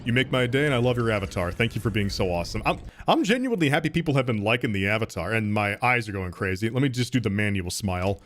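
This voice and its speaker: A man's voice: on the low side, expressive, fast, a bit bright. A man streaming a game and chatting casually with his viewers, thanking donors, rambling with lots of fillers and restarts, and laughing often. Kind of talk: casual gaming stream chat, reading donations.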